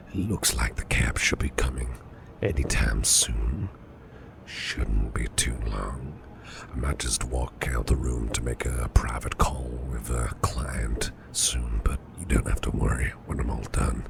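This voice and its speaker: A man playing a caring, protective businessman boss in an ASMR roleplay, speaking in a soothing, reassuring, breathy half-whisper. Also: rumbling low, unhurried, noisy background, exaggerated voice. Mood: tender, reassuring. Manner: soothing, reassuring, affectionate, gently murmured. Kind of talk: soft ASMR roleplay, personal attention.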